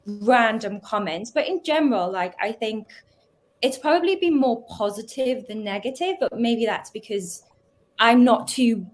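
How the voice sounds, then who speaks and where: A woman's voice: a little high, expressive, measured pace. A woman with a Geordie accent, a recruiter, chatting casually on a panel with frequent "like" fillers. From Northeast England.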